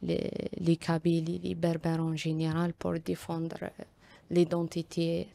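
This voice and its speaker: Low, flat-voiced woman. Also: unhurried, creaky. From Algeria. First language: Tamazight. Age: young adult. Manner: hesitant, frequent fillers and restarts. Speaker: guest author. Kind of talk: radio interview answers.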